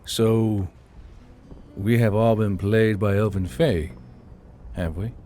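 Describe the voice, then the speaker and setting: A man's voice: on the low side, steady tone, slow pace, warm tone, soft background music. A man voicing a weary, wry military commander in a story, terse and musing, with faint noise behind. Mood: wry, probing.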